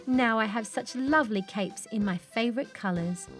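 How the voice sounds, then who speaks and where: A woman's voice: a little high, normal speed, lightly acted. A woman voicing a plucky, polite little girl in a children's storybook, firm and cheerful in manner, with faint music behind. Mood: delighted.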